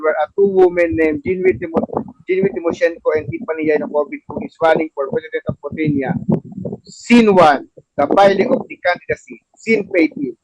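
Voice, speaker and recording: Halting, high-pitched man hosting a play reading. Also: gravelly, lo-fi sound, slight background noise. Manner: halting, repetitive phrasing. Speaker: show host and stage-direction reader. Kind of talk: hosting and narrating a play table read.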